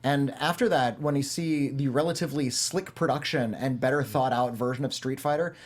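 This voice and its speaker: A man's voice: medium pitch, fairly quick. A man chatting casually on a film review panel, wry and critical in his opinions.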